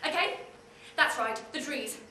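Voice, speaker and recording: An actress playing a woman who leaves a low, urgent, secretive message. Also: lively intonation, measured pace, pushed and bright, reverberant, distant mic, slight background noise, heavily acted. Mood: conspiratorial. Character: hushed, urgent conspiratorial woman caller.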